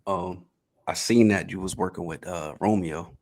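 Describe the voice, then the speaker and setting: A man interviewing a guest on a podcast, answering in a relaxed way with short agreements and questions. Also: sits low, normal speed. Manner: laid-back, short agreeing replies and questions. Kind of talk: casual podcast conversation.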